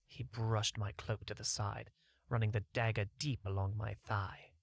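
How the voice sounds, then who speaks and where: A man's voice: on the low side, little pitch movement, a little slow, gravelly, dry recording. A man narrating a first-person fantasy audiobook in a scripted storytelling style.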